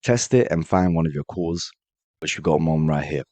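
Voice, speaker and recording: A man with a cold walking viewers through a software automation build in a brisk, direct screen-share tutorial. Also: extremely low pitch, lively intonation, dry recording. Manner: brisk, direct step-by-step walkthrough addressing viewers as guys. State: has a cold, voice a bit off. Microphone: voice-over on screen recording.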